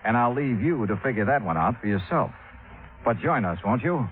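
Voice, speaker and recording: An actor playing a wry, measured insurance investigator who narrates an old radio detective drama. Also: male voice, medium pitch, expressive, close to an everyday voice. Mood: wry narrating. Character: wry, steady male insurance investigator from up North. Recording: tinny old radio recording with music.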